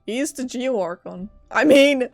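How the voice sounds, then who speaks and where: A woman's voice: high-pitched, animated, a little slow, gravelly, a little mellow, faint music behind. A woman game streamer on a close mic, giving casual, joking, reactive commentary as she plays. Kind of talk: casual live gameplay commentary.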